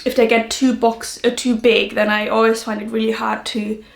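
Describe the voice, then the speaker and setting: A woman's voice: fairly high pitch, level tone, moderate pace, creaky, reverberant. A woman hosting a podcast in casual, rambling solo chat, correcting herself as she goes, her voice not fully recovered from a lingering cold. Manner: rambling, self-correcting, informal. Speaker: knitting podcast host.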